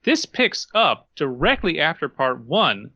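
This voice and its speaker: A man's voice: on the high side, big pitch swings, unhurried, pushed and bright, no echo, muffled recording. A man co-hosting a podcast, giving casual critical commentary and acting out mock quotes to make his points. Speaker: podcast co-host.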